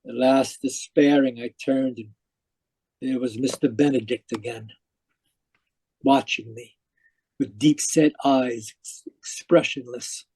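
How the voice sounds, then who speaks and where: A man acting a tormented dead man who narrates his afterlife in old-time radio mystery style, halting and pleading. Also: medium pitch, steady tone, a little mellow, breathy edge, no room sound, rough recording. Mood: haunted, weary. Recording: live video call performance.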